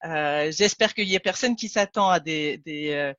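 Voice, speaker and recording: A woman's voice: low voice, normal speed, a bit bright, no room sound. A woman from Ticino, Switzerland, whose native language is Italian, leads an online training webinar with many fillers and restarts. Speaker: trainer and consultant. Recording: dull lo-fi dry call audio.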